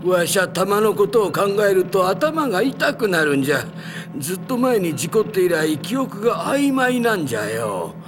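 A folksy old dog companion from an anime, high and very animated. He grumbles like an old codger, with clear music behind him. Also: moderate pace. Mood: grumbling, puzzled. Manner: old-man dialect, animated. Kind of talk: anime voice acting.

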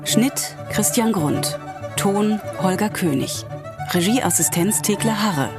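A woman reads the closing credits of a radio play slowly and formally. Her voice sits a little low, and music plays clearly underneath. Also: animated. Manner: measured, formal. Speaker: credits announcer.

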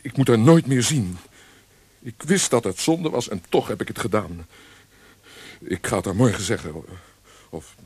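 A man's voice: lowish voice, expressive, a little slow, pauses often, a little mellow, breathy edge, no room sound. A man acting an impassioned, guilt-ridden young poet in a radio drama, speaking theatrically. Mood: guilt-stricken. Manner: theatrical, talking to himself.

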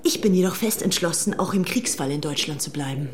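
A woman's voice: on the low side, expressive, brisk, slight background noise, lightly acted. An actress playing a self-assured, brazen young upper-class English woman devoted to a dictator, narrating her diary in a German audio docudrama. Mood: resolute.

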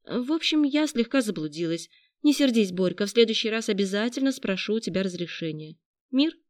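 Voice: mid-range voice, expressive, fairly quick, dry recording, clean studio sound, pitched above the performer's own voice, lightly acted. A reader doing a stubborn dreamy young woman. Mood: coaxing.

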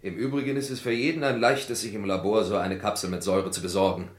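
An actor playing an accused lab worker in a vintage radio crime drama, formal, hesitant and protesting. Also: male voice, on the low side, steady tone, fast, lightly acted. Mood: defensive, explaining. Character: accused male lab worker, defensive and evasive. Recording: roomy old radio play recording.

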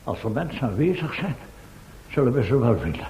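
A man's voice: very animated, brisk, dark tone. A man playing a testy, bossy professor in a radio play, impatient, sarcastic and commanding. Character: testy, bossy male professor leading an expedition. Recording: roomy, faintly noisy old radio recording with rumble.

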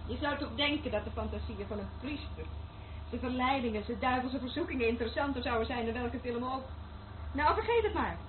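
An actress playing a blasé surveillance worker in a radio drama, speaking in a dry, didactic way. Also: female voice, on the high side, fairly quick, natural voice. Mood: bored, wry. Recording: tinny old radio recording, faint hiss.

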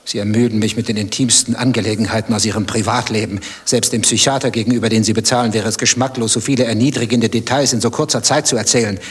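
A man's voice: lowish voice, steady tone, fast, few pauses, husky. An actor playing a nosy, stubborn male stranger in a satirical German radio play, dry, probing and provocative. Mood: mocking.

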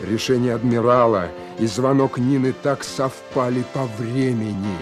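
A man's voice: medium pitch, unhurried, close to an everyday voice. An actor playing an earnest, ambitious young naval lieutenant who also narrates his story in a radio play. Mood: reflective. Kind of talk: radio play acting and first-person narration. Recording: studio radio play, faint music.

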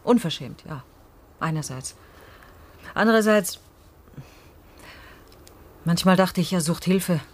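An actress playing a guarded, wry middle-aged mother in a radio crime drama, with a breathy, husky voice and a terse, direct manner. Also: on the low side, expressive, slow, long pauses. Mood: wary, reflective. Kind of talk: naturalistic German radio drama dialogue.

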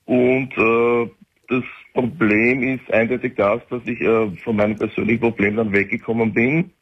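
A man's voice: medium pitch, little pitch movement, moderate pace, slightly rough. A man in his forties with an Austrian accent, calling in to a radio show and rambling with many fillers and restarts. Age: 40s. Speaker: caller. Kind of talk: phone-in call. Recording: dull lo-fi phone line.

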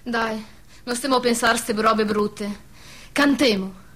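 A steadfast woman who urges courage and is bitter about war. Her voice is fairly high, bright and forceful. She speaks dialect in a firm, exhorting radio theatre style. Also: takes it slowly. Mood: consoling.

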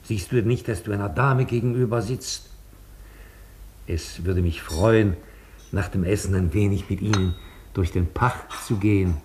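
A low-pitched, genteel old man played by an actor in a radio play. He was once an opera singer and still carries himself with vanity. His speech is formal, courtly and theatrical. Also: a little slow, darkish tone, slight background noise, natural voice. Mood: courtly, reproving. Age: older. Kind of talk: German radio play acting.

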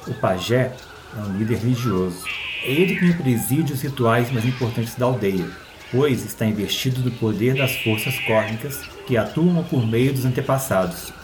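A man's voice: mid-range voice, normal speed, few pauses, noisy background. A man with a Brazilian accent reading a scripted story as its narrator.

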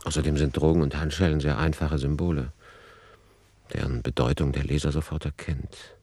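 An actor playing an analytical author who reasons soberly through working notes, as scripted commentary in a radio play. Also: male voice, very deep voice, little pitch movement, moderate pace, dark tone, husky, no room sound, exaggerated voice. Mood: sober, deliberating. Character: analytical male author reasoning aloud over his novel plot.

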